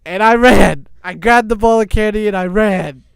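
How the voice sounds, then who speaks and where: A young man telling an improvised story in a halting, informal way. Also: high voice, gravelly, raised voice. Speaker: storyteller, show host.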